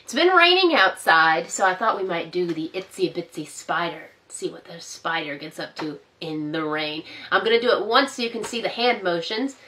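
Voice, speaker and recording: A woman school librarian reading a picture book aloud to children, gentle and expressive, pausing now and then to ask them questions. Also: fairly low pitch, far from the mic, slight background noise. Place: indoor room, recorded for an online story time. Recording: roomy, a little off-mic.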